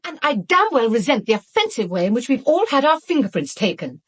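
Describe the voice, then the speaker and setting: A woman's voice: on the high side, very animated, a little fast, husky. An actress with a British accent playing a bitter, outspoken woman in a radio drama, blunt and emphatic. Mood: bitter, agitated.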